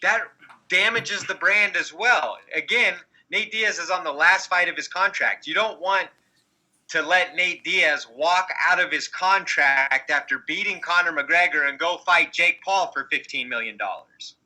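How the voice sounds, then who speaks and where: A man with a very bright, sharp voice set a little high. He is a podcast co-host giving casual but opinionated sports analysis, arguing and explaining his points. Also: unhurried, poor audio. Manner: argumentative, explanatory.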